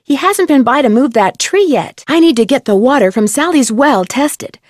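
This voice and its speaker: A young American woman playing a curious, polite, plucky amateur detective in an adventure game, speaking in a clear, earnest way. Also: sits high, lively intonation, moderate pace, a little mellow, no echo, studio quality. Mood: curious, friendly. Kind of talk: scripted adventure game voice acting.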